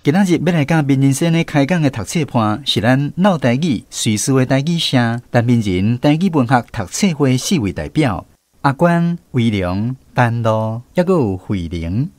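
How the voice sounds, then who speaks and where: A man's voice: mid-range voice, animated, normal speed, a little mellow, dry recording. A man hosting a radio programme, reading out a scripted introduction as its announcer. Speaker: radio show host and announcer. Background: instrumental music.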